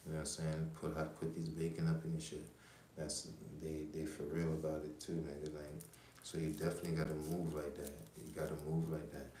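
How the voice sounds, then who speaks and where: Very deep, flat-voiced Jamaican-born man ranting. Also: roomy sound, a little hiss or hum, low-quality recording. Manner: rambling, profane, streetwise, repeats "you know what I'm saying". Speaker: livestream host. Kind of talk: casual livestream monologue.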